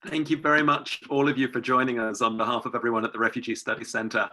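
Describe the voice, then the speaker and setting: A man's voice: medium pitch, flat delivery, fast, pushed and bright. A man moderating a seminar, courteously putting several questions at once to a guest. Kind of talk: interview questions.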